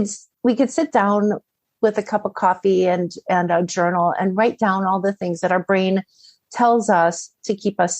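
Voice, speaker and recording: A woman's voice: mid-range voice, darkish tone, no room sound. A middle-aged woman giving conversational coaching advice as an interview guest, often posing questions and acting out inner dialogue.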